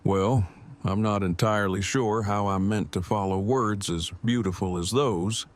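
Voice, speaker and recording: A man playing an awkward, bookish groom in an audio drama, sincere, with stiff, measured, wordy phrasing. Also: lowish voice, fairly even intonation, close to an everyday voice. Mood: hesitant. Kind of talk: fan audio drama acting.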